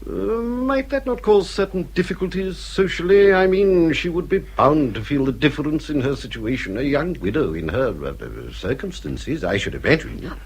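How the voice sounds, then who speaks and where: An actor playing a vain, snobbish older English father in a period radio drama, with pompous, hesitant speech and drawn-out exclamations. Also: on the high side, very animated, slightly rough, heavily acted, low-quality recording. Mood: doubtful, indulgent.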